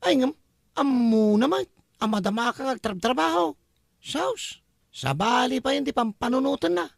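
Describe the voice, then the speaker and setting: A man's voice: very high-pitched, expressive, normal speed, creaky, dry recording, studio quality, heavily acted. A man voicing a teasing, gossipy male buddy with jeering exclamations in an Ilocano comedy audio drama. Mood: amused teasing.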